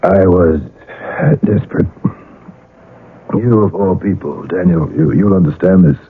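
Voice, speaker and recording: An actor playing a weary, desperate painter in a 1970s radio drama, speaking in halting, broken phrases. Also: male voice, low voice, a little hiss or hum. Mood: desperate, bitter. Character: weary, desperate male painter, middle-aged or older, brooding. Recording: muffled, lo-fi old radio broadcast.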